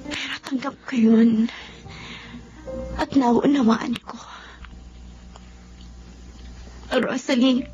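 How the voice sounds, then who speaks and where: A woman with a Filipino accent playing a soft-hearted, remorseful young wife in a melodramatic radio drama. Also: high voice. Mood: confessing. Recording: dull lo-fi radio recording.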